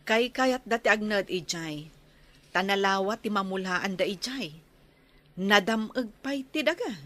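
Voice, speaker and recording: A woman's voice: mid-range voice, animated, unhurried, fairly bright tone. A woman playing a caring, practical visiting nurse in a radio drama, with a Filipino accent and chatty manner.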